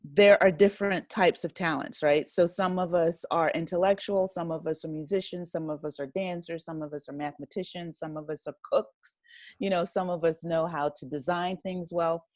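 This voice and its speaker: Woman, lower-pitched, thoughtful podcast guest. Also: fairly even intonation, darkish tone, dry recording, clean studio sound. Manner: thoughtful, halting, explains with lists and examples. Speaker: podcast guest, writer and director. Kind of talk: casual conversational interview.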